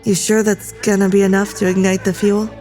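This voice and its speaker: An actress playing a frightened but defiant crew member in a sci-fi horror audio drama, with a blunt manner. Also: female voice, mid-range voice, level tone, fairly quick, warm tone, faint music behind, natural voice. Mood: grim, resolute.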